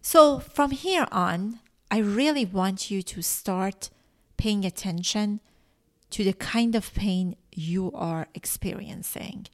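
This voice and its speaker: A woman whose first language is Farsi, hosting a solo coaching podcast in an earnest, measured teaching tone with soft whispered stresses. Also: mid-range voice. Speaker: life coach and podcast host.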